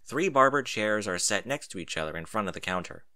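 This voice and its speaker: A man reads a first-person story expressively as its narrator. His pitch sits fairly low but swings widely, with a bright, forceful tone and a dry, close sound. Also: animated, fairly quick.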